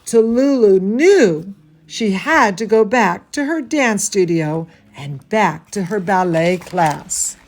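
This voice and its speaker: Slow, highly animated woman reading a storybook. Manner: theatrical, gushing, drawn-out emphasis. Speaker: dance teacher reading a story to students. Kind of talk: expressive bedtime storybook reading for children.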